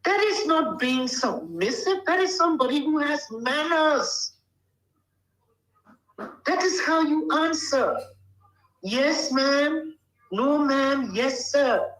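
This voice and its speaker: A woman's voice: high voice, lively intonation, takes it slowly, long pauses, echoey room, rough recording. An older woman from Liberia, speaking bluntly and emphatically as an interview guest, often checking that she is understood. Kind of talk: casual interview talk.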